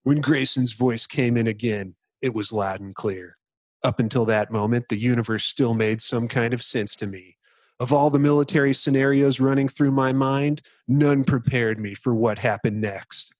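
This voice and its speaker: A man's voice: medium pitch, fairly even intonation, moderate pace, a little mellow, no room sound. A man hosting a podcast, speaking in a casual but presentational way into a close microphone. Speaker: podcast host. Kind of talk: casual chat with dramatic book reading.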